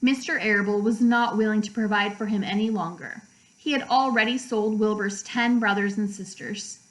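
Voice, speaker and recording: A woman narrating a children's book aloud like a teacher, with measured, deliberate phrasing for young listeners. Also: medium pitch, steady tone, a bit bright, rough recording. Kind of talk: storybook read-aloud narration.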